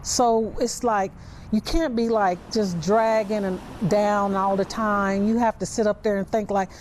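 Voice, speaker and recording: A woman's voice: medium pitch, steady tone, unhurried, a little mellow, a little hiss or hum. A woman with an American accent giving informal, conversational interview answers.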